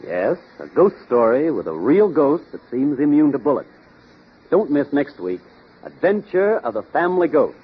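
A suave mystery-writer detective hosting a vintage radio show. His medium-pitched voice is husky and very lively. The recording sounds dull and aged. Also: normal speed. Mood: genial, dramatic. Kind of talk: 1940s radio show host patter.

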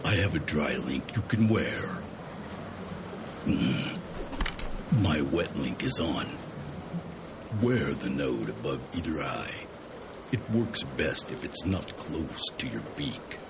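An actor playing a gruff, kindly, folksy man in a science fiction audio drama, with a gravelly, reassuring delivery. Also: extremely low pitch, very animated, slow pace, long pauses, noisy background, full character voice. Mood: calm, instructive. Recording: music under the voice.

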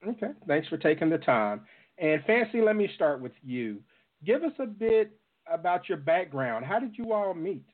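A man's voice: sits high, big pitch swings, normal speed. A man hosting a radio show, introducing guests and putting interview questions to them. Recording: narrow, tinny phone-like radio audio.

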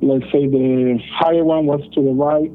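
A man from El Salvador, calling in to a show, telling a personal story slowly with many fillers and pauses. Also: on the high side, steady tone. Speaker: caller. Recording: tinny phone line.

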